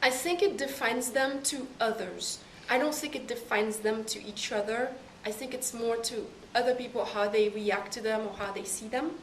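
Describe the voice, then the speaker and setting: Young woman, thoughtful pauses, far from the mic, echoey room. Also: fairly high pitch, slight background noise. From Caribbean. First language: French. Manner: thoughtful, pausing between phrases. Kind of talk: interview answers.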